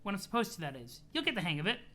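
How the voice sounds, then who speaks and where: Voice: medium pitch, sing-song, very fast, fairly bright tone, slight background noise. A streamer voicing an earnest, cheerful young girl who is chatty and sweet as she reads out a letter. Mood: eager, affectionate.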